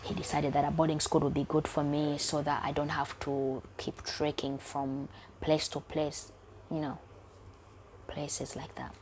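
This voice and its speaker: Low-voiced woman from Uganda, confiding. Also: a little hiss or hum. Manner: candid, emotional, often dropping to a near whisper. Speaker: vlogger. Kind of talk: personal storytelling vlog.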